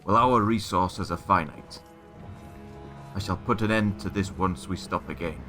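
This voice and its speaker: A man playing a deep-voiced, stern guard captain. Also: measured pace, sharp tone, faint music behind. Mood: guarded, firm. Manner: formal, guarded, terse. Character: stern, weary, stubborn male human guard captain. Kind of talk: fantasy audio drama acting.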